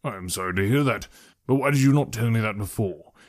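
A man voicing a calmly ironic middle-aged gentleman father in an audiobook, measured, formal and deadpan. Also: lowish voice, natural voice. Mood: mock serious. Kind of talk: audiobook character voice.

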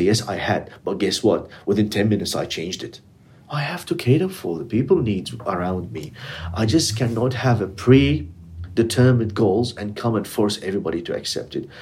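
A man's voice: on the low side, darkish tone, slightly rough. A middle-aged man whose first language is Arabic, speaking earnestly in a casual interview with non-native English grammar. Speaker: interviewee, community educator.

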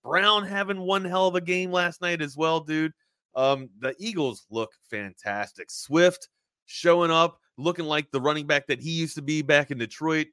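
A man's voice: a little high, moderate pace, sharp tone, smooth, dry recording, studio quality. A man co-hosting a sports podcast in casual, slangy talk, peppering his points with man and bro.

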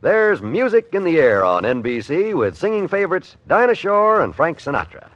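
A man's voice: fairly high pitch, very animated, moderate pace, fairly bright tone, no room sound. A man with an American accent reading a scripted promo as a 1950s radio network announcer. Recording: dull, dry old radio broadcast.

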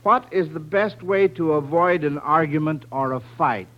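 A man's voice: fairly high pitch, moderate pace, a little hiss or hum. An American man hosting a mid-century radio quiz in an encouraging, avuncular manner. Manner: encouraging, avuncular, addresses children kindly. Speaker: quiz show host. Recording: dull vintage radio broadcast recording.

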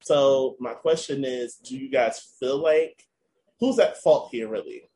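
A man's voice: mid-range voice, a little slow, warm tone, slight background noise. A man hosting a casual podcast, recounting a news story to his cohosts in a storytelling way. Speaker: podcast host.

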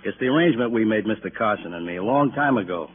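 An actor playing a fussy, impatient neighbourhood grocer in a 1950s radio drama, plain-spoken and repetitive. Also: male voice, fairly low pitch, animated, fast talker, natural voice. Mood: insistent, explaining. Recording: tinny, noisy old radio recording.

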